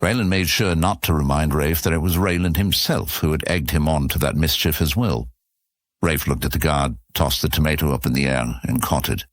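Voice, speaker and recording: A man's voice: very deep voice, normal speed, dry recording, studio quality. A man narrating a scripted fantasy story as the narrator of an audio serial.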